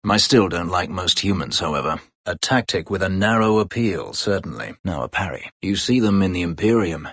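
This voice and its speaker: An actor playing a proud, bitter former slave warrior in a video game, speaking tersely and formally in a husky, gravelly voice. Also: male voice, sits low, lively intonation, moderate pace, fairly bright tone, dry recording, exaggerated voice. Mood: guarded, brooding. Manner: terse, formal, brooding delivery. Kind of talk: video game character dialogue.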